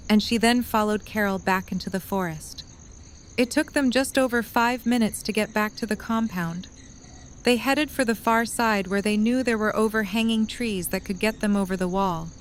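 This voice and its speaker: A woman's voice: mid-range voice, slight background noise. A woman narrator reading a scripted story for an audio drama.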